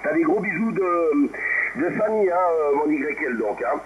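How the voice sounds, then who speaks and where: A man's voice: on the high side, expressive, unhurried. A man chatting casually and ramblingly as controller of an amateur radio net, heard over radio. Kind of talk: casual ham radio chat, roll call. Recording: muffled, very noisy shortwave radio reception.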